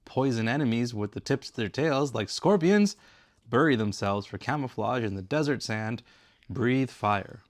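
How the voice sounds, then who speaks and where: Man reading aloud at an even pitch. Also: moderate pace. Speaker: father reading aloud with his son. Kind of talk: bedtime story read-aloud.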